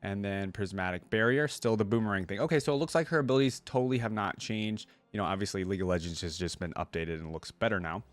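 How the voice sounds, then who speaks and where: Casual male game reactor, slightly deep voice, chatty and unhurried in attitude, dry studio-close sound. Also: dry recording. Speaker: host of a game reaction video.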